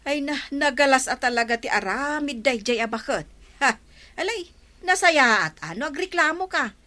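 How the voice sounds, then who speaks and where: A woman's voice: high-pitched, expressive, moderate pace, bright, forceful tone. A woman playing a gossipy, defiant village woman in a comedy drama, speaking with a Filipino accent. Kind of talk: Ilocano comedy radio-drama acting.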